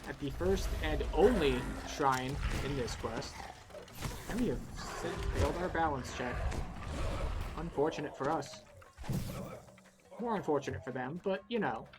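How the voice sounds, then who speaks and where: A man's voice: fairly high pitch, background noise. A man giving casual, wry, explanatory commentary as he guides a video game playthrough. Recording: game music behind the voice. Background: video game music.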